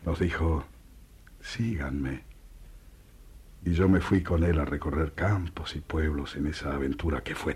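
Actor playing a slow, deep-voiced, resolute Argentine apostle. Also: male voice, a little mellow, husky, exaggerated voice. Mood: tender, reflective. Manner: earnest, deliberate, preaching fervor. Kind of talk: radio play acting.

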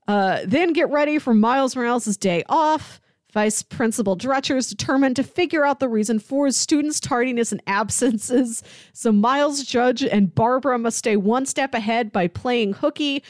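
A woman's voice: fairly high pitch, dry recording, studio quality. A woman co-hosting a podcast, talking casually and reading out short blurbs. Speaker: podcast co-host.

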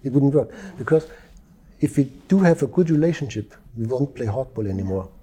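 Male interview guest from Europe, former negotiator; very dark, husky voice, calm and measured delivery. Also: mid-range voice. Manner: calm, measured, with frequent restarts. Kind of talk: interview conversation. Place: Dubai.

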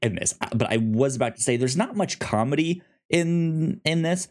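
A podcast co-host of unclear sex chatting casually about films in a halting, repetitive way with many false starts, sometimes excitable. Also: mid-range voice. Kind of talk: casual film review chat.

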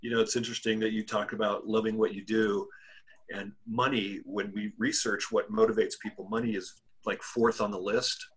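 A man hosting a podcast interview, speaking in a halting way with many restarts as he introduces his guest. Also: fairly low pitch, level tone, breathy edge. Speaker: podcast host.

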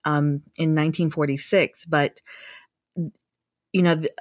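A woman's voice: sits low, fairly even intonation, takes it slowly, no room sound, studio quality. A woman researcher who grew up in the United States, speaking thoughtfully in a conversational interview and often restarting her phrases. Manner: thoughtful, with frequent restarts and fillers. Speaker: guest researcher.

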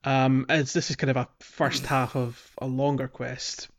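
A man's voice: medium pitch, flat delivery, measured pace. A man co-hosting a podcast, chatting casually about a video game in a hesitant, conversational way. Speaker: podcast co-host. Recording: dull lo-fi audio, music behind. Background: music.

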